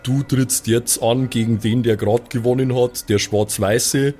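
A man's voice: mid-range voice, fairly even intonation, measured pace, mellow. A man playing in a tabletop role-playing podcast, chatting casually and repeating words as he works out ideas. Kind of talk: casual improvised banter.

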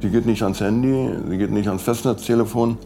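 A man with a low, gravelly voice recalls events in a documentary interview. Faint music sits behind his conversational speech. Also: fairly even intonation, brisk, dark tone. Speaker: interviewee, acquaintance of the victim.

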